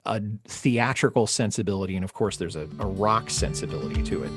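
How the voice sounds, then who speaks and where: A man hosting a music discussion podcast, speaking in a measured, articulate and reflective way. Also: sits low, lively intonation. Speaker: podcast host.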